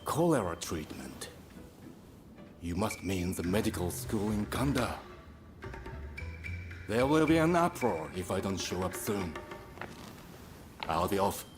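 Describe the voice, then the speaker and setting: A man playing a grave, principled doctor in a video game cutscene, blunt and measured. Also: low voice, big pitch swings, over music, exaggerated voice. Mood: gruff, weary. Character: grave, principled male doctor, gruff but compassionate.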